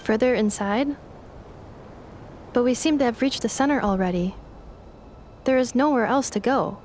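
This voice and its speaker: A woman voicing a calm, selfless female robot in a video game, speaking in an even, formal manner. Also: fairly high pitch, normal speed, slight background noise, natural voice. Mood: puzzled.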